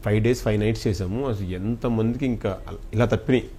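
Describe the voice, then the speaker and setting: Low-voiced Telugu man, earnest interviewee. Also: fast talker, warm tone. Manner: earnest, effusively thankful. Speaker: film actor being interviewed. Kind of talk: interview chat mixing Telugu and English.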